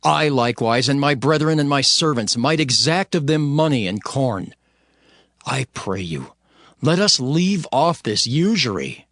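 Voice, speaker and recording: A man playing an earnest, stern governor, narrating his own account in a solemn, measured dramatized scripture reading. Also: mid-range voice, sing-song, a bit bright, dry recording, studio quality. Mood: stern rebuke. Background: background music.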